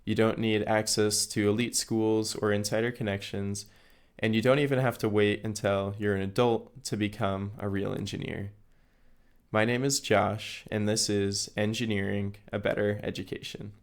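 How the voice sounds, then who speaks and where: A hoarse man, recovering from a recent illness, hosting his own educational podcast and explaining things earnestly with small restarts. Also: on the low side, level tone, normal speed. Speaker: podcast host. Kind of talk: solo educational podcast talk.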